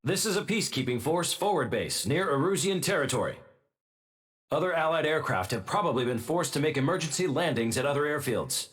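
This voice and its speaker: An actor playing a sober, businesslike military officer giving a formal, matter-of-fact situation briefing in a video game. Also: male voice, fairly high pitch, very animated, fairly quick, a bit bright, faint music behind. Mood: grave, businesslike.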